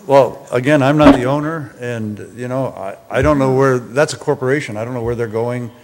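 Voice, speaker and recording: Man, mid-pitched, hesitant, polite, answering questions, long hall reverb. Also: brisk. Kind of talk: public meeting discussion.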